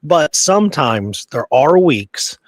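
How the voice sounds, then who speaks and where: A man's voice: on the high side, sing-song, takes it slowly, dry recording. A man co-hosting a podcast in casual conversation, talking in an enthusiastic, rambling way. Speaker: podcast co-host. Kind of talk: casual chat.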